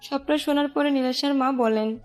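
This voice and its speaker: A woman narrator with a high-pitched voice tells a scripted romantic story, with faint music underneath. She reads as a storyteller, line by line. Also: steady tone, moderate pace, dry recording.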